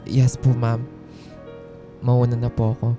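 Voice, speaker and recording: A woman's voice: rumbling low, flat delivery, measured pace. A woman playing a timid, wronged office worker in a radio drama, speaking hesitantly and deferentially over music. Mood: nervous, respectful. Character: timid, wronged female office employee, downtrodden. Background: background music.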